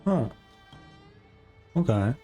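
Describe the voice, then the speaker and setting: A man's voice: mid-range voice, very animated, extremely slow, mellow. A young man casually reacting to a game story as a streamer, laid-back with short interjections. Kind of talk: casual live reaction commentary. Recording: game music behind the voice.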